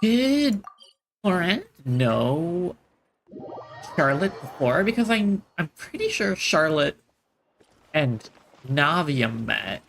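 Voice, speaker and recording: Creaky-voiced, chatty game streamer. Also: mid-range voice, big pitch swings, slow pace, pauses often, fairly bright tone, a little hiss or hum. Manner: chatty, musing to self, trailing off. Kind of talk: casual game livestream commentary. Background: faint game music.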